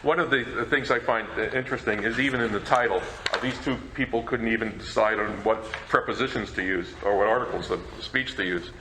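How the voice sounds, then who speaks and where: A man's voice: medium pitch, fast, few pauses. A man co-presenting an informal talk, explaining things in a conversational way. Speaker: co-presenter, radio program collector. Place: indoor presentation room. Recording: echoey room, faint background noise.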